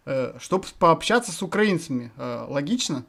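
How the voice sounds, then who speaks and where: A man's voice: on the high side, lively intonation, normal speed, creaky, a bit bright. A man from Russia vlogging from random video chats, thinking aloud to his viewers in a rambling, hesitant way full of filler words.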